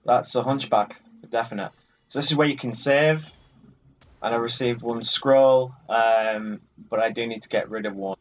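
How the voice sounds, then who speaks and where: A man's voice: medium pitch, normal speed. A man giving casual let's play commentary, bantering jokily and swearing mildly at the game's characters. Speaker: gaming video host. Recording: muffled lo-fi audio over game music. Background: video game music.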